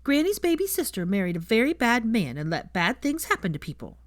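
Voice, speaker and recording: A woman voicing a bright, curious little boy in a storybook reading, earnest and childlike. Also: fairly high pitch, big pitch swings, brisk, no room sound, clean studio sound, voice pushed up.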